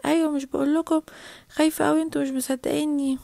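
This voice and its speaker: A very high, quick little girl's voice acted by a woman with an Egyptian accent. She is excitable, insistent and frightened, pleading like a children's story heroine, in a dry, close recording. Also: gravelly, dry recording. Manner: pleading, exclaiming.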